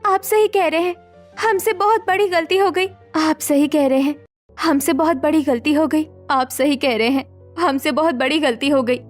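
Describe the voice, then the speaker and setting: A woman's voice: high-pitched, steady tone, moderate pace, soft background music, natural voice. A woman voicing an anxious, apologetic young daughter-in-law in a cartoon story, earnest and pleading.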